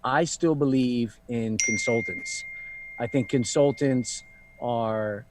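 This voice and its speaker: A man's voice: medium pitch, slow, noisy background. A man in his thirties talks over a live online chat as a guest, with a voice sitting in the middle of the usual range for men. He speaks reflectively and casually and leans on fillers as he thinks aloud. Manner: conversational, many fillers, reflective. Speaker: founder of a luxury fashion brand, guest.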